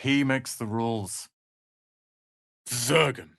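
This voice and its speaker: A man voicing a proud, sharp-tongued young wizard's apprentice and protective brother in a fantasy video game, articulate and theatrical. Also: mid-range voice, slow pace, creaky, no room sound, clean studio sound, full character voice. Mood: haughty, composed.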